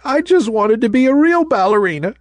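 A man's voice: mid-range voice, wildly swooping pitch, normal speed, breathy edge, no echo, heavily acted. A man voicing a timid little toy ballerina with an earnest, pleading way of talking, read in a storybook. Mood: tearful, bewildered. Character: small timid toy ballerina girl, dreamy.

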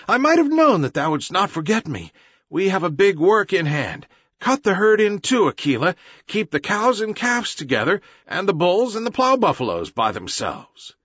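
A man's voice: sits high, big pitch swings, moderate pace, a bit bright, husky, no echo, highs cut off, voice pushed up, heavily acted. A man reading aloud and voicing an eager jungle boy who gives urgent, commanding orders in old-fashioned speech.

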